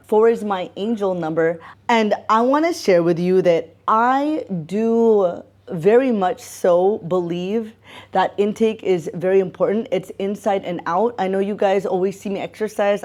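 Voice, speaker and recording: A woman about to turn forty talks straight to camera in a relaxed, upbeat vlog. Her voice is mellow and warm, with a chatty, open manner and frequent light laughter. She speaks at a middling pitch. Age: 30s. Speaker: vlogger. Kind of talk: casual talking-to-camera vlog. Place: home in the Philippines with roosters heard.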